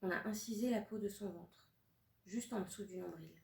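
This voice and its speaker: A woman in her thirties, born in Russia and a native Russian speaker, reads her own poetic prose aloud. She speaks in a measured, deliberate way with long pauses, at an ordinary mid pitch. She is heard from some distance off the mic, in a room that echoes. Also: vocal fry, a little mellow. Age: 30s. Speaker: author. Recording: roomy sound from a distant mic.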